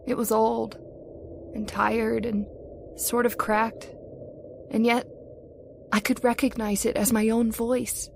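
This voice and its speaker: A woman's voice: a little high, level tone, slow pace, creaky, pauses often, darkish tone, a little hiss or hum. A woman playing a troubled, entranced wife in an old-time radio horror drama, earnest and dramatic. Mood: haunted. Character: troubled, entranced young wife drawn to the graveyard. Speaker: voice actor.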